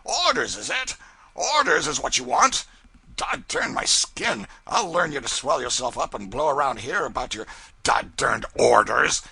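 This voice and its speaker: A man's voice: fairly high pitch, very animated, normal speed, gravelly, hardly any pauses, voice pushed up, heavily acted. A man voicing a bullying, irritable older riverboat pilot who barks and sneers. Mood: shouting, furious. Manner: barking, shouting, sneering.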